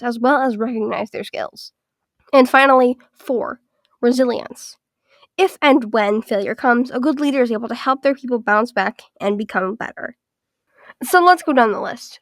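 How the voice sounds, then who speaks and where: Woman video essayist, higher voice, raspy and stuffed-up from illness, methodical scripted read, dry close sound. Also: no room sound. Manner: structured, list-by-list evaluation.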